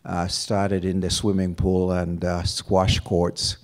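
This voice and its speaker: A middle-aged man from Uganda, a university provost, answering interview questions on a campus stage with a handheld mic, measured and full of fillers. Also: low voice, little pitch movement. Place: lounge stage before an audience. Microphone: handheld microphone.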